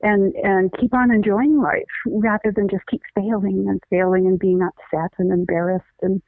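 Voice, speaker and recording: A woman's voice: medium pitch, level tone, no room sound. Earnest woman explaining over a tinny phone line. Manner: earnest, many restarts, deliberate explaining. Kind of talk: conversational interview, explaining.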